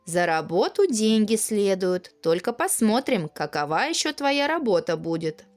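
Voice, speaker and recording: Woman as a wry old frost grandfather, expressive. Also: mid-range voice, unhurried, faint music behind. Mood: measured, stern. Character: calm, stern but kindly old man, frost spirit.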